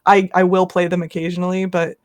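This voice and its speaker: Podcast guest, medium-pitched, informal, thinking aloud as they talk. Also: completely flat delivery, fast talker. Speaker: podcast guest who plays games for an online audience. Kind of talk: casual, hesitant chat about games.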